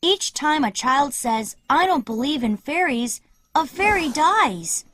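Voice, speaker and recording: A woman voicing a cocky, excitable young boy who never grows up in an animated children's story, boastful and blunt. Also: high voice, slow, heavily acted. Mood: earnest.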